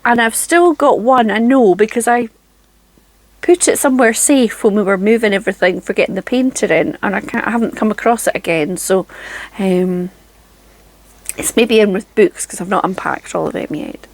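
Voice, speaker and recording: A Scottish woman in her forties talks casually to camera from her home craft room. She is chatty and self-deprecating, with frequent ums and soft laughs. Her mid-pitched voice carries a gravelly, creaky edge. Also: normal speed, no echo. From Scotland. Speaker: craft channel host.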